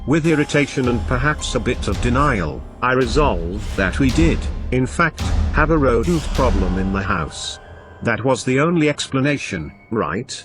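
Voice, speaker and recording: A man reading a scripted first-person horror story as an expressive narrator. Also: medium pitch, moderate pace. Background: background music.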